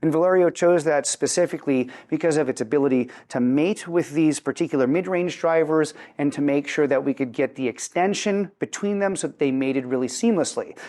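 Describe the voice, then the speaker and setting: Man, fairly high voice, articulate product presenter, explanatory interview style, rhetorical flourishes. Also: darkish tone. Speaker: company representative presenting a product.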